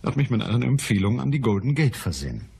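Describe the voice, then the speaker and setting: A man's voice: mid-range voice, sing-song, fast, vocal fry, mellow, slightly rough, heavily acted. An actor playing a shrewd, businesslike male private detective in a radio crime play.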